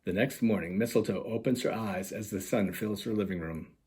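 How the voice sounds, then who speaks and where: A man reads a children's story in a fairly low register. His voice has a husky grain, and he narrates in the manner of a storytime reading. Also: a little fast, warm tone. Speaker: author reading own picture book.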